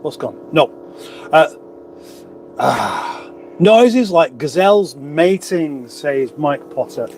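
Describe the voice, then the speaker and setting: A man's voice: a little high, sing-song, slow, long pauses, a little hiss or hum. A man with a runny nose, hosting a casual livestream, rambling chattily with wry asides as he reads out comments. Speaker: livestream host. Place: garage.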